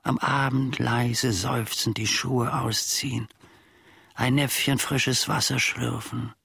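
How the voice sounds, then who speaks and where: An actor playing a self-important, grumbling old manservant and former soldier in a German radio play, musing theatrically in a husky, gravelly voice. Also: male voice, mid-range voice, fairly even intonation, slow pace, pushed and bright, no room sound, studio quality, full character voice. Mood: wistful, musing. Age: older.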